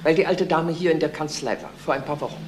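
An actress playing a curt, unforthcoming notary's clerk in a radio crime drama. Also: female voice, low-pitched, fast, lightly acted. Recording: roomy, faint noise.

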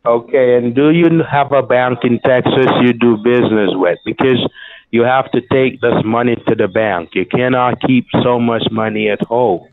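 A man on a phone call delivering a formal, scripted prize pitch in an overly reassuring manner. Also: normal speed, slight background noise. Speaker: phone scammer. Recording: tinny phone line.

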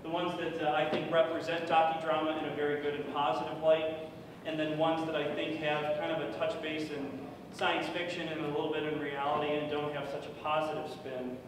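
A middle-aged man with a slightly high voice gives a loose, chatty conference talk full of fillers. He is heard from some distance in a large echoey hall, with clear room noise behind him. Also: little pitch movement, brisk, few pauses. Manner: loud, chatty, with many fillers. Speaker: conference speaker. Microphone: podium microphone from far off.

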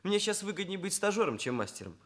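A young trainee ladies' hairdresser in a Soviet radio play. His voice sits fairly high and swings animatedly up and down. He is solemn and self-important, choosing pedantic, official-sounding phrases. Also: very animated, quick pace, a bit bright. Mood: confident, matter-of-fact. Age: young adult. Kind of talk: Soviet radio drama acting.

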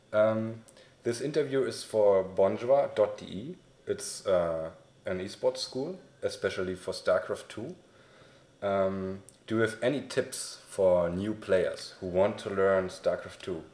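A man's voice: sits low, measured pace, a little mellow. A young man with a German accent interviewing a guest in English, friendly and hesitant with many fillers. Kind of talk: casual interview. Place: small indoor room, sitting on a couch. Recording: echoey room.

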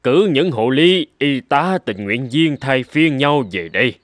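A man voicing a grave older gentleman physician and mentor in a dubbed cartoon, speaking in a measured, formal, earnest way. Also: mid-range voice, lively intonation, no room sound, clean studio sound, lightly acted. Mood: urging, concerned.

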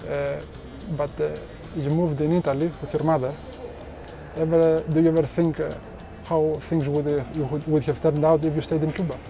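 A man interviewing a basketball player, asking long questions with frequent hesitant pauses. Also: fairly high pitch, flat delivery, mellow. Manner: hesitant, many filler pauses.

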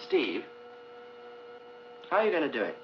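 A man's voice: mid-range voice, huge pitch swings, moderate pace. An actor playing an earnest, determined male scientist in a 1950s science fiction film. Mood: earnest, probing. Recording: muffled old film soundtrack with hiss.

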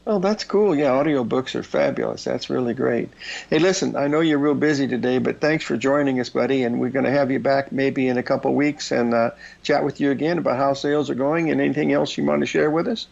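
Gravelly older man hosting, folksy. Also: mid-range voice, a little fast, darkish tone, highs cut off. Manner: folksy, chatty, calls listeners folks. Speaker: show host. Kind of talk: casual market chart talk. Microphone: headset.

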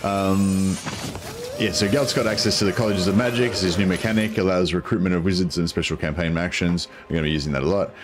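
A deep-voiced man, his voice a bit croaky, streams a strategy game from a home room over a headset mic. He rambles casually, full of ums and restarts, with a faint hum behind him. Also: fairly even intonation, quick pace. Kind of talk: casual gameplay commentary.

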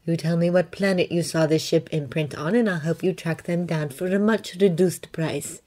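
A woman's voice: fairly low pitch, moderate pace, darkish tone, natural voice. A woman voicing a shrewd Mandalorian woman mercenary with a dry, knowing manner. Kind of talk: fan fiction audiobook reading.

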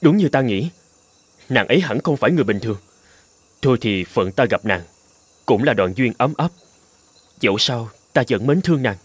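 A man's voice: mid-range voice, lively intonation, normal speed, long pauses, slight background noise, lightly acted. A man playing a tender, earnest young scholar in a folktale cartoon, speaking in formal old-fashioned phrases. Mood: tender, wistful. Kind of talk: dramatic cartoon voice acting.

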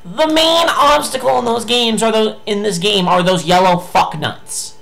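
A young man giving angry, swearing commentary while playing a video game, his voice going hoarse. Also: high voice, measured pace, fairly bright tone. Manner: ranting, swearing, frustrated outbursts. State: losing his voice. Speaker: gameplay streamer.

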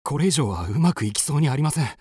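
An actor playing an earnest, courteous Japanese suitor, speaking politely and intensely in a radio drama. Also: male voice, mid-range voice, animated, fast talker, warm tone, slightly rough, no echo, clean studio sound. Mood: earnest urging.

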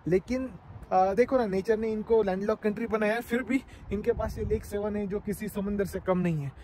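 A man in his thirties talks to a phone held at arm's length, his voice a little high and mellow. He chats casually, pausing on fillers as he explains what he sees. Faint background noise sits under the voice. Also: steady tone, low-quality recording. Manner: chatty, explaining sights to viewers, many fillers. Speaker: travel vlogger. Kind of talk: casual travel vlog narration. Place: outdoors by a lake, then an underground cave museum. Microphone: phone camera at arm's length.

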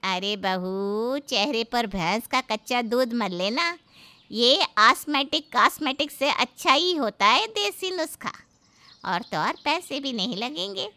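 A woman voicing a taunting, bossy elderly aunt-in-law in a cartoon family story, with a sarcastic, drawn-out delivery. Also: fairly high pitch, lively intonation, bright, forceful tone, slight background noise, full character voice. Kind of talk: animated Hindi family moral story acting.

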